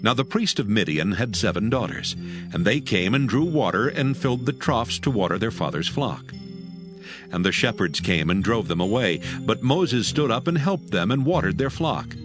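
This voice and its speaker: Guy narrating the bible, lowish voice, very animated, music under him. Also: moderate pace. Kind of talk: dramatized scripture narration.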